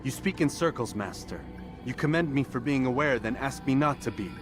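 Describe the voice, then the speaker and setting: A man's voice: mid-range voice, expressive, brisk, natural voice. A man voicing a proud, doubting young assassin in a video game, speaking stiffly and formally. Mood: questioning. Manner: stiff, solemn, formal phrasing. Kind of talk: video game cutscene dialogue. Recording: music behind the voice.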